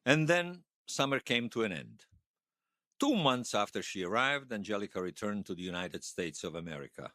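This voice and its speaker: A man's voice: lowish voice, very animated, moderate pace, bright, forceful tone, dry recording, studio quality. An actor playing a wry, folksy Bosnian man who tells his past in an audio drama interview. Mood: wistful, matter-of-fact. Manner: wry storytelling with colourful folk sayings. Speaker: storyteller being interviewed.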